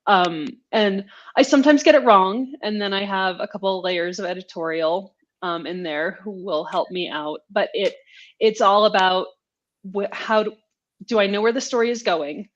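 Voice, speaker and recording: A woman author talks casually as a guest in an interview. She is self-deprecating and quick to joke, with a mid-pitched voice. The audio is a little dull, like a video call.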